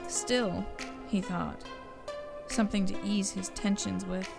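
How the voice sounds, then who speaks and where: A woman's voice: medium pitch, steady tone, takes it slowly, vocal fry. A woman narrator reading a scripted audio story. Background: music.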